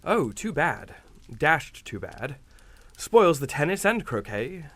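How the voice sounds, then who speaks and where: An actor playing a hearty, blustering English captain with an old-fashioned upper-class manner in a radio suspense drama. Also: male voice, on the high side, big pitch swings, slow pace, fairly bright tone, no echo, heavily acted. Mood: genial bluster. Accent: British. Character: blustering, genial English captain, an uneasy guest.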